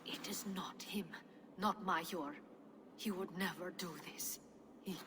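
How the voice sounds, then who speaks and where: A woman's voice: fairly low pitch, a little slow, background noise, natural voice. An actress playing an earnest, loyal noblewoman of Viking-age England in a video game, solemn and clipped. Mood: distressed. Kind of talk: cinematic video game acting.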